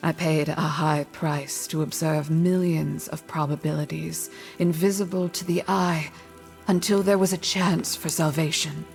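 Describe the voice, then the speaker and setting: An actress playing a solemn, wise seer mentor, speaking deliberately in a fantasy video game. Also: female voice, deep voice, fairly even intonation, vocal fry. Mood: solemn, mysterious. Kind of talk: solemn scripted game cutscene narration. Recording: loud music behind the voice.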